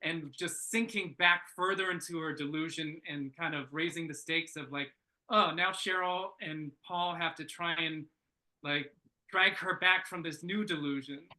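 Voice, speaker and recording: Very bright, sharp-voiced man giving notes. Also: fairly high pitch, steady tone, a little slow, rough recording. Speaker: story editor. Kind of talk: casual unscripted script feedback.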